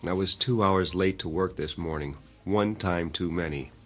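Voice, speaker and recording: Man playing a harried, skeptical, low-voiced young working man. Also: moderate pace, faint music behind, close to an everyday voice. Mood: harried, dejected. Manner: wry, weary, plainspoken. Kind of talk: audio drama acting.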